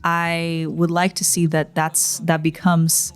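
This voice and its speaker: A woman guest in an interview, speaking in a measured, thoughtful way. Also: low-pitched, completely flat delivery, clear, resonant tone, soft background music. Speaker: guest, education founder. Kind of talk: interview conversation.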